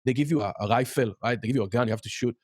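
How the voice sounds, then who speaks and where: A middle-aged Israeli-accented man chats casually as a podcast guest. He speaks in halting bursts with many fillers, and his pitch jumps up often. The recording is dry and close. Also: dry recording. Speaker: podcast guest, music educator. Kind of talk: casual interview chat.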